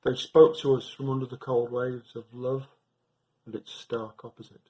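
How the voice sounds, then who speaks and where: Male poetry reader, medium-pitched voice, measured and deliberate delivery. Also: little pitch movement, mellow. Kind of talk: reading a poem aloud.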